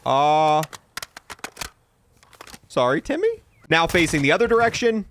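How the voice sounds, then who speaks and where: Animated young man hosting gaming commentary. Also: on the high side, measured pace, a little hiss or hum. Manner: informal, matter-of-fact. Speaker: gaming video host. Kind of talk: casual gameplay showcase commentary.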